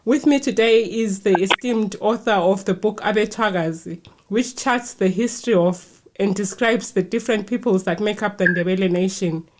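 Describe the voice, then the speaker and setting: A woman from Zimbabwe, hosting a podcast and reading her introduction in a clear, measured way. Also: mid-range voice, noisy background. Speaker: podcast host. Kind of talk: scripted podcast intro, then interviewing.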